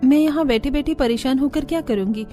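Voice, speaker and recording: Woman playing a high-voiced, feisty young village bride. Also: a little fast, warm tone, soft background music, lightly acted. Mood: earnest. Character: hardworking, feisty young village daughter-in-law.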